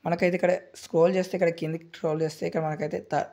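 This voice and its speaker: A man runs through a game tutorial at top speed, full of repetitive fillers. His voice sits at a middle pitch. The recording carries clear background noise. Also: level tone. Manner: rapid, repetitive filler-heavy explaining. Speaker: gaming channel host.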